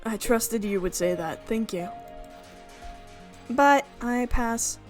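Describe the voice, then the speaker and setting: A woman's voice: a little high, lively intonation, slow pace, a little mellow, faint music behind. A woman reading the part of a creepy, persuasive male schemer in a visual novel, speaking formally and pleading earnestly. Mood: confident. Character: creepy, persuasive American man, self-justifying schemer.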